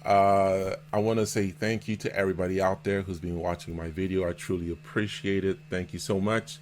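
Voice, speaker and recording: A man hosting a casual vlog interview as a seller and mentor, explaining things in a halting, repetitive way. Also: low-pitched, little pitch movement, darkish tone. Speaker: host, Amazon seller and mentor. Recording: noticeable background noise.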